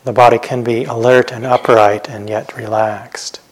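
A man guiding a meditation as a teacher, speaking gently in short, unhurried phrases with long pauses. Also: medium pitch, little pitch movement, rough recording. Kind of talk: guided meditation instruction.

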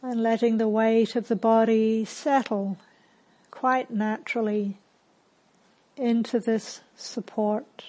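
A woman leading a guided meditation as a teacher, calm and unhurried, giving gentle instructions with long pauses. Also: a little high, rough recording.

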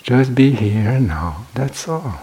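A man guiding a meditation as a teacher, speaking in short, measured phrases with pauses between them. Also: mid-range voice, lively intonation, warm tone, a little hiss or hum. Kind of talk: guided meditation talk.

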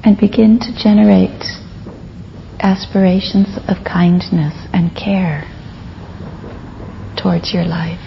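Creaky, low-voiced woman guiding meditation. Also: fairly even intonation. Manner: gentle, unhurried, with long pauses. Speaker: meditation teacher. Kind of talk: guided meditation. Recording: dull lo-fi recording with faint noise.

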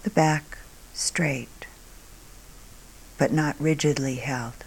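Woman leading a guided meditation, really slow and calm, soft kinda breathy voice, lots of pauses. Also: deep voice, slight background noise, poor audio. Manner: calm, unhurried, short phrases with long pauses. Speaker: meditation teacher.

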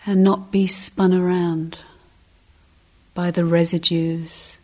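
A woman meditation teacher giving guided instruction, speaking gently and deliberately in short phrases with long pauses. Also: lowish voice, flat delivery.

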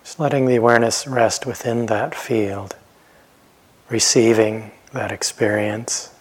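Man, meditation teacher, rather low pitch, breathy husky tone, gentle, very slow phrasing, long pauses. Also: little pitch movement. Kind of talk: guided meditation instruction.

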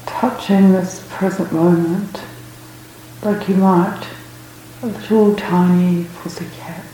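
A woman leading a guided meditation as a teacher, speaking gently and unhurriedly in short phrases with long pauses. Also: fairly low pitch, fairly even intonation. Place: reverberant indoor room at a retreat. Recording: very echoey room, faint background noise.

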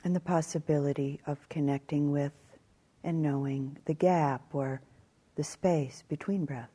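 A woman teaching guided meditation in a calm, measured way, leaving long pauses between short phrases. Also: deep voice, husky.

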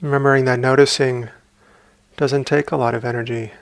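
A man guiding a meditation as a teacher, speaking calmly and unhurriedly in short phrases with long pauses. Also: mid-range voice, gravelly, dry recording. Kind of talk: guided meditation.

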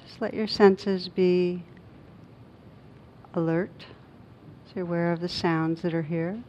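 Slow, low-voiced woman guiding meditation. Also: steady tone. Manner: gentle, unhurried, with long pauses between short phrases. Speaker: meditation teacher. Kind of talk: guided meditation. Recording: dull muffled recording with faint rumbling noise.

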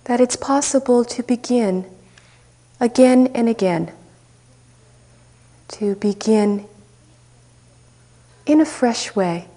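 Slow soft-spoken woman guiding meditation. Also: a little high, expressive. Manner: slow, soft and unhurried with long pauses. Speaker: meditation teacher. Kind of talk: guided meditation.

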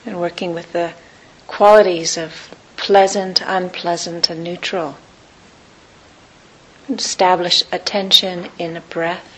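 A woman teaching meditation, guiding listeners in short, unhurried phrases with long pauses between them. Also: on the low side, flat delivery, a bit bright, slightly rough, rough recording. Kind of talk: guided meditation.

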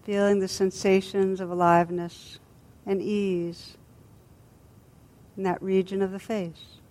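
A woman teacher leading a guided body scan meditation in a gentle, soothing, unhurried manner with long pauses. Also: mid-range voice, little pitch movement.

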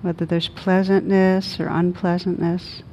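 A woman meditation teacher leading a guided meditation in a gentle, soothing manner with long pauses. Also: on the low side, little pitch movement, dull audio, a little hiss or hum.

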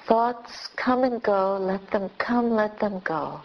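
A woman meditation teacher leading a guided meditation in short, unhurried phrases with long pauses between them. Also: medium pitch, animated. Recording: dull lo-fi recording with clear background noise.

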